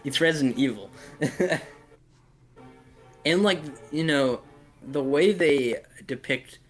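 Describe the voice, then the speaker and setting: A man in a casual podcast chat, giving blunt opinions in a halting way and often repeating his phrases. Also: fairly high pitch, soft background music. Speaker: podcast host discussing films and games.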